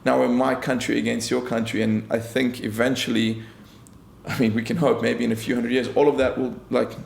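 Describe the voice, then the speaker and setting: Very gravelly man in a roomy space. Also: medium pitch, flat delivery, fairly quick, a little hiss or hum. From South Africa. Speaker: co-host. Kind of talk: casual, philosophical chat. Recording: roomy echo on voice.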